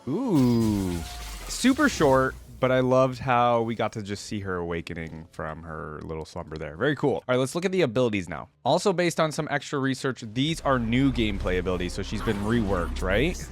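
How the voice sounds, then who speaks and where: A man's voice: medium pitch, lively intonation, normal speed. Chatty man with music behind him. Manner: informal, chatty, enthusiastic fan. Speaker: reaction video host. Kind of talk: casual reaction commentary.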